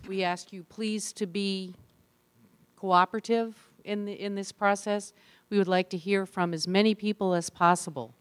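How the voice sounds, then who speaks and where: A woman's voice: medium pitch, steady tone, normal speed, smooth, no echo. A woman chairing a public meeting, giving polite, orderly procedural instructions.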